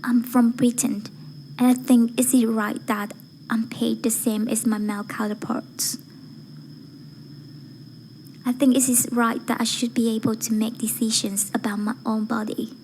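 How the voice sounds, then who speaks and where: A woman with a breathy, whispery voice reciting a formal speech in a measured, deliberate way, phrase by phrase. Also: on the high side, little pitch movement, a little mellow, a little hiss or hum, poor audio. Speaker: amateur voice-acting student reciting a speech.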